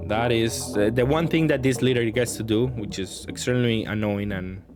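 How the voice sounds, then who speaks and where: A man's voice: mid-range voice, moderate pace, over music. A man giving casual, off-the-cuff commentary on his own card game matches, thinking aloud and faulting his own plays.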